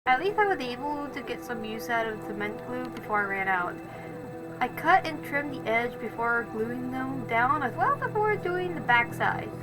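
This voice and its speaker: A woman's voice: on the high side, animated, normal speed, poor audio. A woman doing casual voice-over narration for a craft video, rambling and correcting herself as she goes. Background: music.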